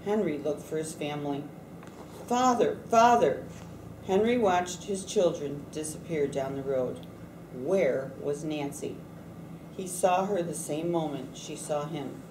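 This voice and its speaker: A woman's voice: low voice, measured pace. A woman on a school staff reading a storybook aloud to children. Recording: distant mic, echoey room, clear background noise.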